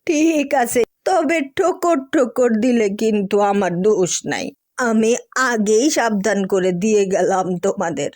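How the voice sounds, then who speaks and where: High-voiced folksy Bangladeshi granny acted by a woman. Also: lively intonation, unhurried, a little mellow, no room sound, clean studio sound. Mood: matter-of-fact. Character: folksy elderly grandmother who keeps a chicken farm. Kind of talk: cartoon dialogue.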